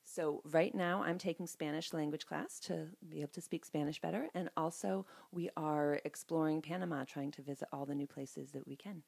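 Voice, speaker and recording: A woman in her forties calmly answering interview questions on a handheld mic in a small school office. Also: low voice, moderate pace, vocal fry, hardly any pauses, no room sound. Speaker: guest parent.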